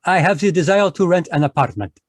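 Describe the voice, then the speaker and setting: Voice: mid-range voice, expressive, fast, dry recording, natural voice. A performer playing a fussy, proud Belgian detective with a French accent, speaking formally with French words mixed in, in old-time radio style over a video call. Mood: fussy, polite. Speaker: voice actor in radio play. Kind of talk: 1940s radio acting.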